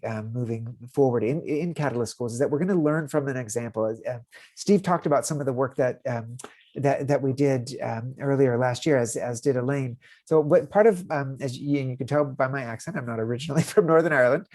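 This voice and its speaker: A man's voice: medium pitch, dark tone. A man from the United States presenting on a video call webinar, often stopping and repeating words as he talks. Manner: halting, frequent word repeats and restarts. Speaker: webinar facilitator.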